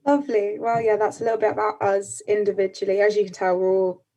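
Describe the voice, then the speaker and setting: A woman's voice: medium pitch, level tone, fast talker, warm tone. An eighteen-year-old woman from Kent moderates a panel over a video call, friendly and chatty, with frequent ums. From Kent, England. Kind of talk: casual panel introduction.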